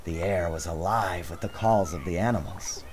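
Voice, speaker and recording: A man giving a dramatic, expressive narration of a storybook, theatrical in his phrasing. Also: sits low, moderate pace, background noise. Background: music.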